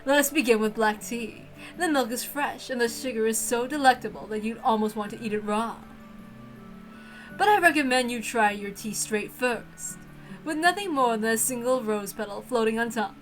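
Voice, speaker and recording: Woman acting an elegant, high-voiced witch hostess. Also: natural voice, poor audio. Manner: formal, stately, courteous phrasing. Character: elegant, gracious witch hostess, grandly proud yet innocently friendly.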